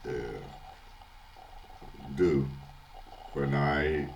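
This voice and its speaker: An older man with a congested, hoarse voice from illness, talking in a halting casual video diary at home, often chuckling. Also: extremely low pitch, little pitch movement, muffled recording, a little hiss or hum. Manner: halting, many restarts and fillers, chuckles often. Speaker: vlogger. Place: at home, early morning.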